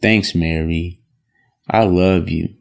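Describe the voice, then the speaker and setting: An actor playing a smooth-talking, reassuring husband in an audio drama. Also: male voice, deep voice, fairly even intonation, takes it slowly, exaggerated voice. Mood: casual. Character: smooth-talking, placating husband and farm worker.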